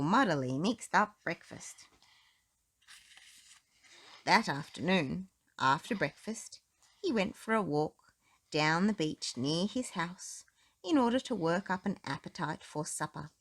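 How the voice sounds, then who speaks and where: A woman with a slightly low voice reads a children's storybook aloud. Her narration is expressive, with very lively swings in pitch.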